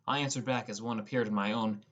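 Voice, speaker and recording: A man's voice: fairly low pitch, steady tone, fast, a bit bright, highs cut off. A young man reading scripted first-person narration as an audiobook narrator.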